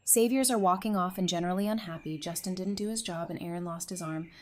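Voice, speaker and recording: A woman's voice: fairly low pitch, fast talker, a little hiss or hum, natural voice. A woman playing a caring, stubborn and playful survivor in an audio drama. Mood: concerned. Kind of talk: fan audio drama acting.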